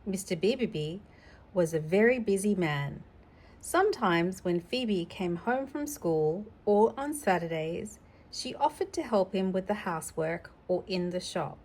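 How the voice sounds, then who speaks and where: A woman's voice: medium pitch, lively intonation, a little slow, a little mellow. A middle-aged woman hosting a children's storytime, chatting casually and explaining things simply as if to a child. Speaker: storytime host reading children's books. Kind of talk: casual read-aloud with chatty asides.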